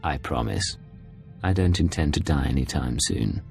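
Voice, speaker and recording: Man, cool imperial spy, very low voice, gravelly, terse, music and noise behind. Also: expressive, moderate pace, heavily acted. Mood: soft, intimate. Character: calm, commanding male imperial secret agent, flirtatious off duty. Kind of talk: video game cutscene acting.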